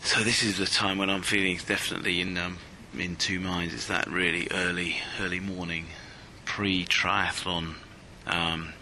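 A man's voice: low voice, little pitch movement, moderate pace, hardly any pauses, bright, forceful tone, slight background noise, low-quality recording. A man hosting a podcast, recording a casual audio diary on a handheld recorder in an apartment early in the morning, speaking hesitantly with many fillers. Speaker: podcast host.

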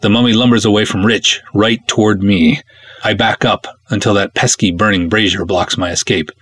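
This is an American man with a fairly low voice, captured close and dry. He hosts a podcast in an expressive storyteller's style, with a playful, theatrical touch. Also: normal speed, husky, no echo. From Minnesota, United States. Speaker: podcast host.

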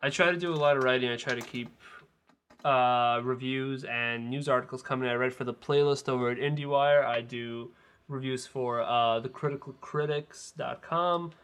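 Bright-voiced man talking film. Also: medium pitch, steady tone, normal speed, smooth. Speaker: film podcast co-host and critic. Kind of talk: casual film discussion.

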